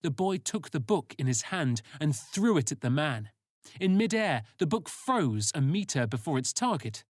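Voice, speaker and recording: A man's voice: fairly high pitch, big pitch swings, moderate pace, dry recording, studio quality. A man reading scripted sci-fi story narration.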